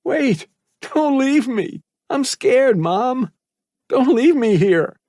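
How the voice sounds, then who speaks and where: A man's voice: extremely high pitch, animated, very slow, a little mellow, no echo, clean studio sound, pitched above the performer's own voice, full character voice. A man voicing a desperate, abused teenage boy who pleads in a trembling, breathless way.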